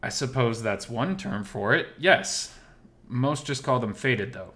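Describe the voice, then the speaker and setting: A man's voice: mid-range voice, lively intonation, measured pace, pushed and bright. A man playing a guarded, confident, muscular male survivor of an apocalypse in a visual novel, direct and self-assured. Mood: amused, matter-of-fact.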